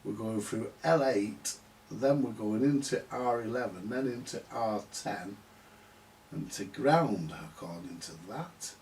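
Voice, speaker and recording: A man giving halting, unscripted commentary at a workbench, muttering to himself as he works things out. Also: lowish voice, darkish tone. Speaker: vintage radio repair hobbyist. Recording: distant mic with background hiss.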